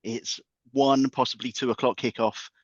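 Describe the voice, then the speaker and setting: A man's voice: mid-range voice, fairly even intonation, moderate pace, no echo, muffled recording. A man on a podcast as a guest market analyst, chatting playfully and packing his points with football metaphors. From England. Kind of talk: podcast chat.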